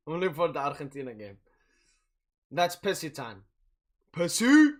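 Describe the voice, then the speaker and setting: A man's voice: medium pitch, animated, measured pace. A young man from Sweden and Algeria hosting a football watch-along in casual, boastful, jokey chat. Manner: boastful, jokey, prone to chuckling. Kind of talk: casual livestream chat, match commentary.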